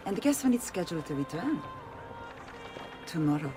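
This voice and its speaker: An actress playing a sly, teasing Italian confidante in a video game, playful and coaxing with an Italian accent. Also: female voice, on the low side, big pitch swings, normal speed, over music. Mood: reassuring, coaxing. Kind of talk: video game cutscene acting.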